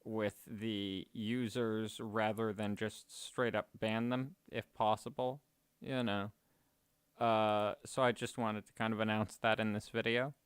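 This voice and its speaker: Slow, bright-voiced man chatting. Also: lowish voice, clear, resonant tone, dry recording, clean studio sound. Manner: rambling, self-correcting, chuckling. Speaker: streamer. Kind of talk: casual chat while gaming.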